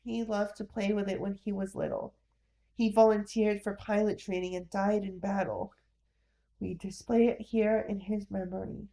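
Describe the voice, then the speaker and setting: A woman's voice: mid-range voice, little pitch movement, normal speed, gravelly, mellow, natural voice, rough recording. A woman voicing an embittered middle-aged man, a one-legged war veteran, who speaks in a weary, downhearted and plain way. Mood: sorrowful.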